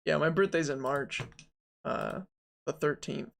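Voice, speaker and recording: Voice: mid-range voice, unhurried, creaky. A person in their early twenties streaming game development, talking casually and thinking aloud with jokes and frequent fillers. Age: 20s. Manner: joking, rambling, self-talk with fillers.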